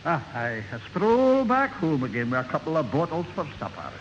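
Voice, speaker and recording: A man's voice: on the high side, wildly swooping pitch, moderate pace. A man playing an irascible, bossy Scottish uncle in an old radio comedy. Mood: grumbling. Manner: bossy, exasperated. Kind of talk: 1970 radio comedy acting. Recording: noisy, muffled, echoing old radio recording.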